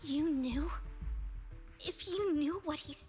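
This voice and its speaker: Hurt young game girl, tiny high voice, slow and emotional, music behind. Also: darkish tone. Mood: distressed. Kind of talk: dramatic video game acting.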